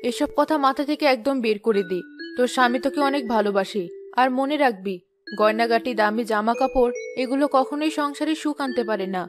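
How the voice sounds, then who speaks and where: An actress playing a sensible, contented village wife in a cartoon, earnest and admonishing in manner. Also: female voice, on the high side, a little fast, over music, lightly acted. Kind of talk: cartoon dialogue.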